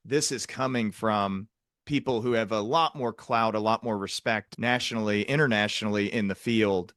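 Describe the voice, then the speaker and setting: A man's voice: lowish voice, moderate pace, fairly bright tone, no room sound, clean studio sound. An American man hosting a podcast in a casual, conversational way. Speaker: podcast host, psychiatric practitioner.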